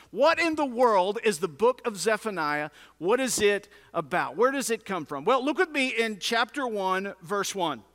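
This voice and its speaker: A man's voice: sits high, bright, forceful tone. A man preaching a teaching sermon as a pastor, in a conversational style with emphatic repetition and rhetorical questions. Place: large indoor room, preaching to a congregation. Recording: reverberant large room sound.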